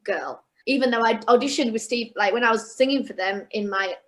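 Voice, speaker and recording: A young woman chats as a guest in a relaxed interview. She talks in a fairly high voice and keeps restarting her sentences as her thoughts run ahead. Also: brisk. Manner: chatty, with frequent restarts and fillers. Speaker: guest singer and actress. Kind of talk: casual interview chat.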